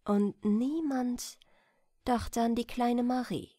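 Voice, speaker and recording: A woman reading a bedtime fairy tale as scripted audiobook narration, in an expressive, deliberate storytelling manner. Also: fairly high pitch, no echo, clean studio sound. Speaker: audiobook reader.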